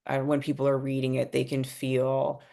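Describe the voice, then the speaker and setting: A woman with a very deep voice and plenty of vocal fry speaks as a writer. She is informal and keen, reflecting casually while her melody stays narrow. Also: completely flat delivery, moderate pace, warm tone.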